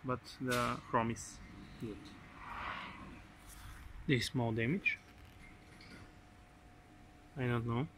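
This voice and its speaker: A man with a Bulgarian accent, speaking halting, broken English while showing off a car on a handheld phone. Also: medium pitch, steady tone, background noise. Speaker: seller showing a classic car. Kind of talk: casual walkaround sales video. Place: outdoors by a car and inside it. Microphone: phone camera held by the speaker.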